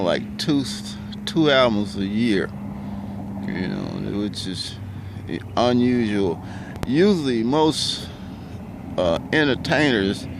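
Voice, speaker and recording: A middle-aged man talks slowly in a baritone, stopping often to restart his thoughts with loose filler words. He records outdoors, and passing cars and street noise are clearly heard behind him. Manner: hesitant, many ums and restarts, loose and conversational. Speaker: vlogger. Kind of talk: casual rambling monologue.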